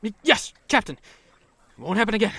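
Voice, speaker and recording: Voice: mid-range voice, sing-song, moderate pace, vocal fry, sharp tone, husky, no echo, exaggerated voice. An actor voicing a cocky, easily flustered young male recruit in an audio drama, swaggering and prone to stammer. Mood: chastened. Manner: swaggering, stammers when challenged. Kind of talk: scripted audio drama acting.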